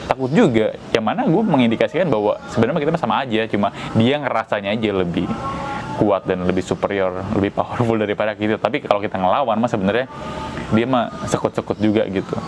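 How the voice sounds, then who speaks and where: Male vlogger, deeper voice, rambling casual commentary, noisy street background. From Tegal, Central Java. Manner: rambling, repeats his own phrases. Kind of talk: casual opinion monologue.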